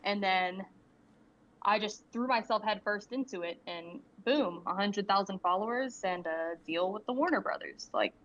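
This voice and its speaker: A woman's voice: mid-range voice, normal speed, a bit bright. Young woman, student creator, candid and rambling, laughs easily; slightly dull lo-fi audio. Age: young adult. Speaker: guest, indie animator and creator. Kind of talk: casual interview answers. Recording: slightly muffled lo-fi audio with faint background ambience.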